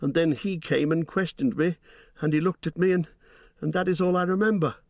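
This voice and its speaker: A man putting on a shrill, frightened young hobbit. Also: expressive, unhurried, no echo. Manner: stammering, shrill and high. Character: frightened young male hobbit, shaken and pleading.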